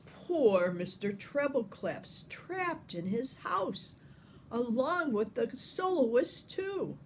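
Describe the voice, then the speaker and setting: An older woman reading a children's picture book aloud with expressive, deliberate phrasing, like a grandmother talking to young listeners. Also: high voice, slight background noise.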